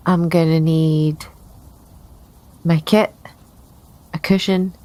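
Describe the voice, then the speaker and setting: A woman with a low voice plays in a tabletop role-playing game. She speaks slowly and casually as her character, in an informal table-talk style. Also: fairly even intonation, mellow.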